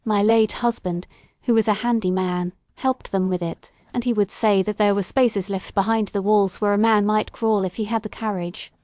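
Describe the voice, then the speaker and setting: A reader voicing a plain-spoken widowed woman housekeeper, deferential and unhurried, in an audiobook. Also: medium pitch, fairly even intonation, darkish tone, no echo, studio quality, voice pushed up, close to an everyday voice. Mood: respectful, reminiscing. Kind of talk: audiobook character dialogue.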